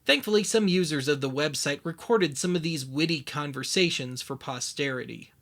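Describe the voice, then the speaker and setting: A man narrating a scripted documentary as its host, with wry, deadpan humour. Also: a little high, normal speed, vocal fry, slight background noise.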